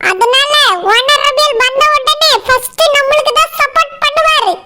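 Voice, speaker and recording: A woman's voice: very high voice, steady tone, moderate pace, noisy background. A woman voicing a cocky, self-important young girl in a comedy cartoon, talking boastfully in Kanyakumari Tamil. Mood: smug, confident.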